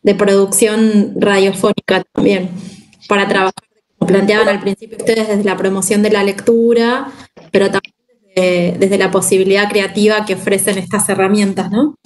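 A woman's voice: mid-range voice, flat delivery, normal speed, low-quality recording. A woman in her thirties with an Argentine accent informally hosts an online workshop over a video call. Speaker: workshop host. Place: indoor room with plain wall. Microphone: computer mic on a video call.

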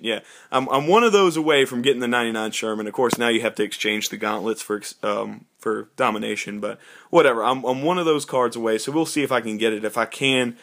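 Young male gaming host, bright forward voice, loose and conversational. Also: medium pitch. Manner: chatty, informal, rambling. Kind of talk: casual gameplay commentary.

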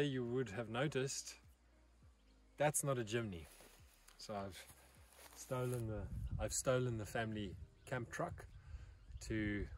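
Guy in his 30s vlogging outdoors, lowish voice, kinda far from the mic, unhurried and halting. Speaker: vlog host. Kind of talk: casual solo camping vlog. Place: outdoors in an open valley, partly inside a car.

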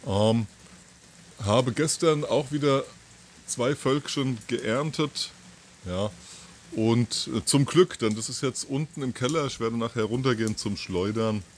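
A man in his fifties giving an informal, halting monologue to camera, thinking aloud with pauses and repeats. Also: medium pitch. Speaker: video channel host. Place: outdoors on a terrace or balcony by trees. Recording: outdoor ambient noise.

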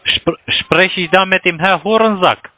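Bright, high-voiced mocking man on noisy line. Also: unhurried. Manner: mocking, provocative. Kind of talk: phone call, taunting chat. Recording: noisy call with clear background noise.

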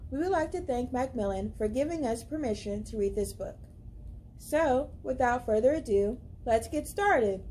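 A young woman reading a children's picture book aloud as a library storyteller, with expressive, deliberate, dramatic emphasis. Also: a little high, slight background noise. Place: indoors, library among bookshelves.

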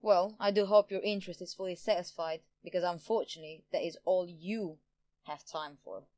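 A woman's voice: lowish voice, moderate pace, natural voice. A woman playing a smug, power-hungry politician in an audio drama, pompous and theatrical in manner. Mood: indignant, condescending. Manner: theatrical, pompous, self-satisfied. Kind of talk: audio drama villain acting.

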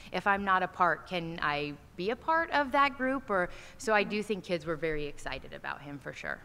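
A woman's voice: sits low, fairly bright tone, clean tone. A woman administrator answering questions at a school board meeting, casual with many fillers, at a podium mic in a large auditorium. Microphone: standing podium mic from a far camera. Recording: echoey hall, faint noise.

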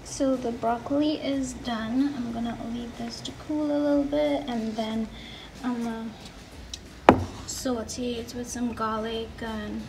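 A young Trinidadian woman with a fairly high voice talks casually through her cooking, as a vlogger does. She is recorded a little away from the microphone in a home kitchen, with clear noise behind her. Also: steady tone, unhurried. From Trinidad and Tobago. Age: 20s. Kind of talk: casual cooking vlog narration. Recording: noisy kitchen, distant microphone.

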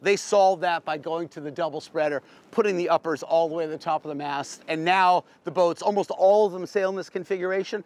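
A man's voice: on the high side, a little fast, hardly any pauses, darkish tone. A middle-aged American man giving a casual, unscripted boat tour in a plain-spoken, folksy, explanatory way, like a veteran sailor.

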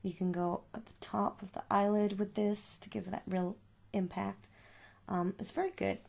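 A young adult woman doing a soft, reassuring ASMR makeup store roleplay, chatting casually and repeating herself as a shopkeeper. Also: mid-range voice, steady tone, moderate pace, vocal fry. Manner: reassuring, repetitive, conversational. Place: small room at home.

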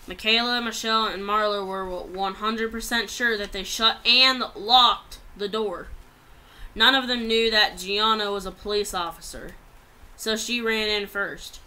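Bright, clear young woman reading aloud. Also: mid-range voice, fairly even intonation, unhurried. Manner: plain read-aloud delivery with stumbles over words. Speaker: amateur writer reading own story. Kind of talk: reading a written story aloud. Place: small room at home, indoors.

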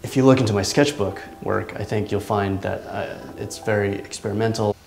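Man, thirties, low flat voice, hesitant and reflective, echoey studio. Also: creaky, faint music behind. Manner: thoughtful, halting, many ums and restarts. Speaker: artist. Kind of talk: reflective interview talk. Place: large indoor art studio.

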